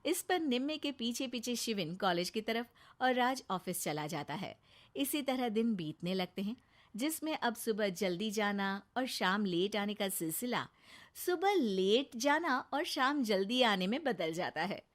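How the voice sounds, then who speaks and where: A woman narrating a children's cartoon story in a lively, expressive way. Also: a little high, a little slow.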